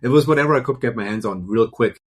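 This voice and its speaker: A man's voice: mid-range voice, fast, husky. A man from Germany with a German accent, a composer talking candidly and self-deprecatingly as an interview guest over a remote call. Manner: candid, self-deprecating, talks in long loose runs. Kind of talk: relaxed interview conversation.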